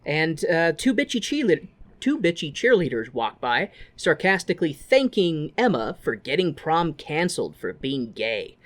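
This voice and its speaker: Animated American man recapping casually. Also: a little high, moderate pace. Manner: sweary, informal, wry asides. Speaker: podcast co-host. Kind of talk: casual film recap with commentary.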